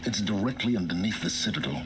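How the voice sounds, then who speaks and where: Deep, dry older informant, played by a man. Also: quick pace, close to an everyday voice. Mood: dry, withholding. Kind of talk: game dialogue acting.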